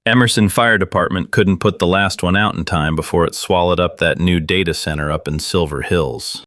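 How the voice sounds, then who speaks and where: Conspiracy show host, deep low voice, wry, conspiratorial, conversational, audio drama monologue, dry recording. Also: male voice, moderate pace, fairly bright tone, no echo. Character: wry conspiracy radio show host, Miller, grown man.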